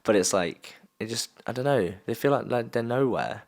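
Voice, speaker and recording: A man's voice: medium pitch, expressive, moderate pace. A young man with a southern English accent, co-hosting a casual sports podcast in a laid-back, understated way. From South of England. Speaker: podcast co-host.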